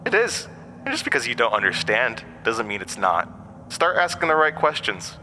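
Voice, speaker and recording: A man's voice: medium pitch, very animated, normal speed, bright, forceful tone, slight background noise. An actor playing a mysterious, mocking, weary undying male entity in a horror audio drama, speaking in theatrical, cryptic asides. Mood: exasperated, mocking. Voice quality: broken-windpipe voice, borrowed from another man.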